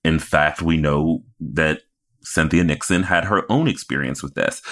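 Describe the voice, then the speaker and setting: A man's voice: rumbling low, a bit bright. A man co-hosting a podcast in a casual, conversational recap style, acting out imagined reactions as he goes. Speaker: podcast co-host.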